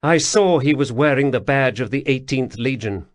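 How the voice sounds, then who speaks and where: A man's voice: medium pitch, moderate pace, dry recording, voice pushed up, lightly acted. A man voicing an imperious young prince who speaks in a commanding, clipped way. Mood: shaken.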